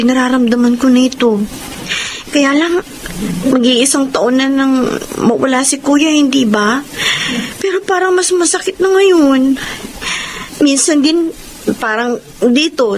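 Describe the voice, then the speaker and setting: An earnest, caring young daughter in a radio drama. Her polite, worried voice is high, with clear background noise. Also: low-quality recording.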